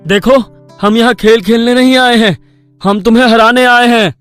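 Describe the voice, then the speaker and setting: A man voicing a brave, determined villager in an animated story, speaking in an earnest, rallying, declamatory way. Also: extremely high pitch, normal speed, smooth, soft background music. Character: brave, determined male village friends defying a demon.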